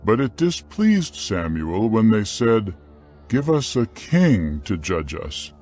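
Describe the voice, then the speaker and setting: Male narrator for dramatized scripture: solemn, measured, lowish register, slow delivery. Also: very animated, darkish tone, soft background music.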